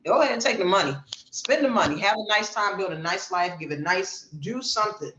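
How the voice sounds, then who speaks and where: Woman podcast co-host, casual news chat, emphatic, mid pitch, roomy sound. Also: a little fast, dull audio, slight background noise. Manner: animated, emphatic storytelling.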